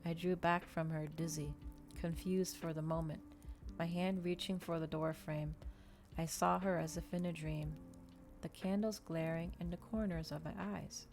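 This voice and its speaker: A woman's voice: sits low, fairly even intonation, normal speed, a little mellow, soft background music. A woman streamer doing an ASMR stream, reading a book and chatting casually to listeners. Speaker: VTuber streamer, reader.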